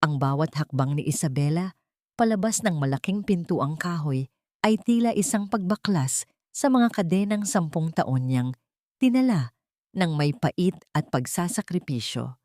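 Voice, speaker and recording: Low-voiced woman narrating a dramatic story. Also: lively intonation, a little slow, warm tone, no room sound, studio quality.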